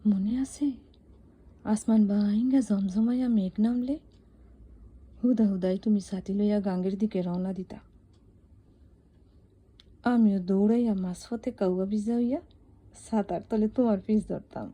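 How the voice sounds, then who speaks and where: A woman with a rural eastern Bangladeshi accent recites poetry in a soft, husky, wistful and intimate, pleading way. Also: mid-range voice, fairly even intonation, moderate pace, pauses often. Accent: rural eastern Bangladeshi dialect. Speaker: poet reciting own poem. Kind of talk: dramatic poetry recitation in dialect.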